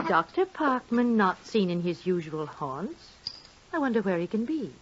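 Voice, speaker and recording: A woman's voice: medium pitch, very animated, normal speed, close to an everyday voice. An actress playing a devoted, solicitous wife and mother, with a sweet theatrical manner in old radio drama style. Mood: curious. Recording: muffled old radio, background hiss.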